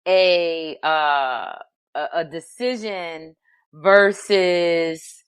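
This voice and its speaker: A woman's voice: on the low side, drawn-out words, smooth. A woman hosting a podcast, talking in a hesitant way with many fillers and restarts. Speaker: podcast host. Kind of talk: casual conversation.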